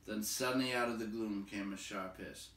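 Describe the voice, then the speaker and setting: Male storybook narrator: lower register, expressive read-aloud, recorded off mic in a reverberant room. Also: normal speed. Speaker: storybook reader. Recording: echoey room, distant from mic.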